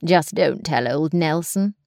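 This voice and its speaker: A woman voicing a charming, careless young gentleman who teases his sister affectionately. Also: low-pitched, animated, slow pace, vocal fry, no echo, clean studio sound, voice pushed down, full character voice. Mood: breezy. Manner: airy, teasing, affectionate.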